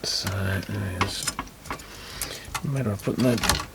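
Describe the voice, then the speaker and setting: Low, gravelly man talking through a repair. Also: slow pace, heavy background noise. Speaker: repair video presenter. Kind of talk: casual hands-on repair commentary.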